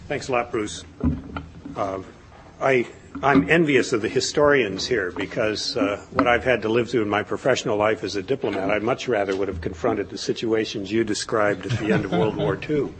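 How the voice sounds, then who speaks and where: Low-voiced diplomat on a panel. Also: male voice, moderate pace, noisy background. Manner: conversational, self-deprecating asides. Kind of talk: conference panel talk.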